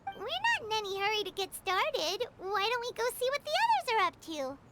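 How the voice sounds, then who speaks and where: A woman voicing a tiny, greedy, childlike fairy companion in a game cutscene, squeaky, cheeky and dramatic. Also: very animated, a little fast, very noisy. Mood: curious. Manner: dramatic, speaks of herself in third person. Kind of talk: video game cutscene acting. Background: loud music.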